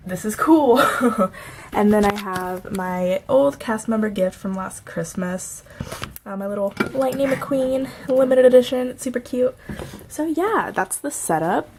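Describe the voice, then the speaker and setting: A woman's voice: a little high, lively intonation, measured pace, noisy background. A young woman vlogging in a casual, chatty way, laughing often and a little stuffy from a cold. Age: young adult. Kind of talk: casual chat to camera.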